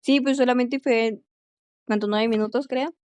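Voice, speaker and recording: A young woman speaks in a quick, chatty way, using este a lot as she thinks. Her voice is smooth, clear and a little high. The sound is close and dry. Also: fairly even intonation, a little mellow, dry recording. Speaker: English teacher and language student. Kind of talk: casual conversation.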